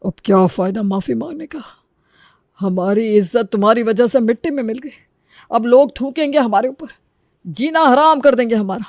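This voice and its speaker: Woman acting a high-voiced, harsh, shamed mother. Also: lively intonation, normal speed, a little mellow, dry recording, studio quality. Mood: bitter, reproachful. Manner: bitter, reproachful. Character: harsh, shamed mother berating her wayward daughter.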